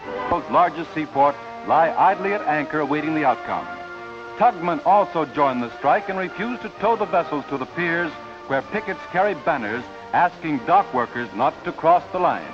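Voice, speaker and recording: A man's voice: a little high, lively intonation, normal speed. An American man reading scripted 1940s newsreel narration in a formal, declamatory announcer style. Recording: dull 1940s newsreel soundtrack. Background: music.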